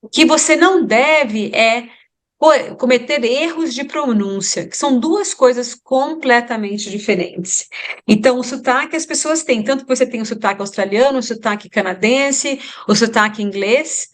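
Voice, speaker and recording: A woman's voice: on the high side, moderate pace. A Brazilian woman with a Brazilian Portuguese accent, an English teacher giving advice in an interview, emphatic and repeating her points for emphasis.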